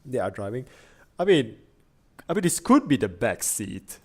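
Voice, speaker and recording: A man chatting casually as he reacts on stream. His pitch swings widely as he thinks aloud, full of fillers and restarts. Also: sing-song, moderate pace, husky. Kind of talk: casual chat.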